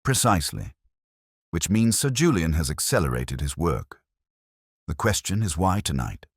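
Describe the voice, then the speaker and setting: A man playing an austere, commanding detective in an audiobook story, speaking in measured, clipped pronouncements. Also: sits low, very animated, breathy edge, no echo, studio quality, exaggerated voice. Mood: brisk, deductive.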